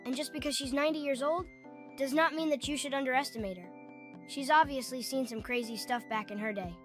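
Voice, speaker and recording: A woman playing a chatty, dramatic, excitable young kid hero in a cartoon comic dub. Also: high voice, fairly quick, faint music behind. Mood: animated recounting.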